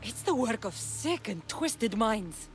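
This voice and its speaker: An actress playing a tough, protective Greek mercenary in a video game, with a Greek accent and a direct, resolute manner. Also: female voice, a little high, sing-song, takes it slowly, soft background music, full character voice. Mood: defiant. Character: tough adult female Greek mercenary warrior, protective and defiant. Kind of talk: video game cutscene acting.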